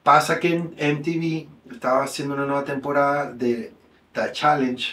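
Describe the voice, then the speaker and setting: A man in his thirties from Mexico with a Mexican accent, a guest telling stories in a relaxed, slangy way in a small room. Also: medium pitch, normal speed, far from the mic, slight background noise. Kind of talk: casual storytelling interview. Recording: echoey room.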